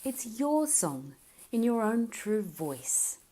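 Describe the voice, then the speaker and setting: A woman voicing a kind, gentle lyrebird girl. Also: fairly high pitch, very animated, slow, pitched above the performer's own voice, full character voice. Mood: whispered. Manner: kind, gentle, softly knowing. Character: gentle young female lyrebird, kind and wise.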